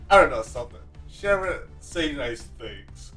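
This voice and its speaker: A man's voice: medium pitch, very animated, a little slow, a bit bright, soft background music. A man hosting a podcast in a casual, eager and effusive chatty style. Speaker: podcast host. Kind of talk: casual chat, interviewing.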